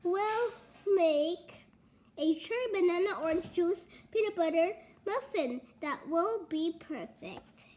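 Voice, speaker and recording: An eager storybook grandmother who likes to take charge, voiced by a young girl reading aloud. The voice is very high, and the delivery is slow and halting. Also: animated, darkish tone, a little hiss or hum, full character voice. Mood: eager suggesting. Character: eager, bossy grandmother baking on a farm.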